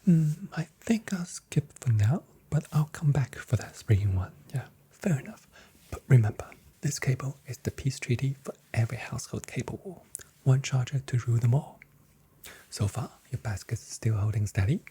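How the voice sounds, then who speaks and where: A soft-spoken, whispering ASMR performer playing a shop assistant with unhurried, gently playful sales patter, close to the microphone. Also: medium pitch, animated, breathy edge.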